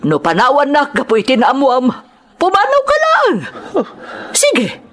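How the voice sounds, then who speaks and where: A woman's voice: sits high, huge pitch swings, a little slow, fairly bright tone, full character voice. A woman playing a bitter, hard adoptive mother in an audio drama, speaking in a cutting, dramatic way. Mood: angry, scornful. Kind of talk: Ilocano audio drama acting.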